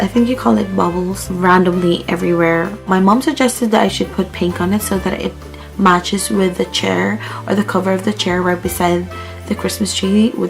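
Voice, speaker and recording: A woman's voice: on the low side, creaky. Woman vlogger losing her voice. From Philippines. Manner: chatty, with fillers like "like" and "um". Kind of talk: casual home vlog narration. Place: living room at home.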